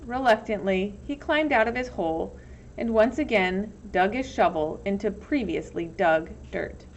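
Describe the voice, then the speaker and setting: A woman's voice: medium pitch, expressive, measured pace. A woman reading a children's novel aloud as storybook narration. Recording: dull, lo-fi with some background noise.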